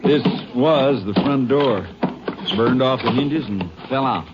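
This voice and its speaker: A man acting as a country sheriff in an old radio western. He has a folksy Texan drawl and a wry temper that turns gruff when crossed. The sound is muffled vintage broadcast, his pitch mid-range. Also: takes it slowly, heavy background noise, natural voice. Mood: matter-of-fact.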